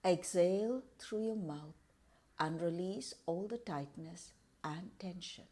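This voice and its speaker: Woman doing guided meditation, really slow and soft-toned, kinda low voice, calm with lots of pauses. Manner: calm, measured, deliberate phrasing with pauses.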